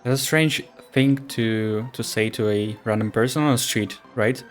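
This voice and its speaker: A male streamer reacts casually to a game story in a mid-pitched voice. He throws out blunt, informal questions and asides. Faint music plays behind him. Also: moderate pace. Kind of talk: casual gameplay reaction commentary.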